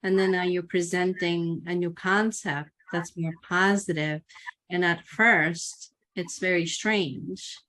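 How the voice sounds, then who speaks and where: Female host, fairly low voice, slow and unhurried, reflective and coaching. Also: fairly even intonation, fairly bright tone. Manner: thoughtful, coaching, affirming. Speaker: podcast host. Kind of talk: interview conversation.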